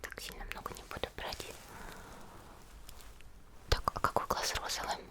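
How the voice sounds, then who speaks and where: A woman playing a friendly teenage monster girl in an ASMR roleplay, murmuring gently in a soft, breathy whisper. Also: lightly acted. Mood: gentle, attentive. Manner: gentle, unhurried, murmuring to the listener. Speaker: ASMR video creator.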